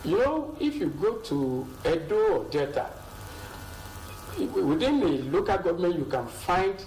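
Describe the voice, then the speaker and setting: Older nigerian man, professor type, talks real slow w lots of uhs, kinda far off in a roomy studio. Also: sits high, very animated, a little mellow. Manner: slow, measured, with many pauses and fillers. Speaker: linguistics professor, studio guest. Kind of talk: studio interview. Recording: roomy and a bit distant, faint noise.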